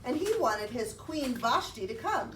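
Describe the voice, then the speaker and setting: A woman tells a puppet-show story to young children in a high, animated voice. Her phrasing is simple and interactive, and the sound is echoey. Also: measured pace. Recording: roomy, distant mic, faint noise.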